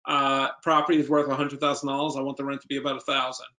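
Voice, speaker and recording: A man's voice: mid-range voice, flat delivery, fast, pushed and bright. A man with a New York accent, an investor speaking informally as a guest and answering questions in an emphatic, plain-spoken way.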